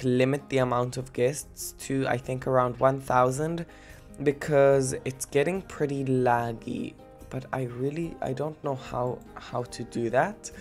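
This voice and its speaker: A young man with a blocked, stuffy nose from a cold, giving rambling, giggly gameplay commentary. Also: medium pitch. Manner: rambling, giggly, many fillers. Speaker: let's-play gamer.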